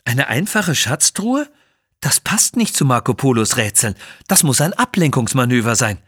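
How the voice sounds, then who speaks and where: A man voicing a sharp, skeptical lady adventurer in a children's adventure story. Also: mid-range voice, sing-song, moderate pace, breathy edge, no room sound, clean studio sound.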